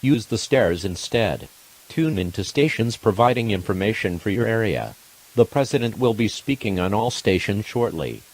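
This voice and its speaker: A man plays an emergency broadcast announcer with a lowish voice. The delivery is formal and deliberate, reading official notices in an impersonal, scripted way. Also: sing-song, poor audio. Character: impersonal male emergency alert system announcer.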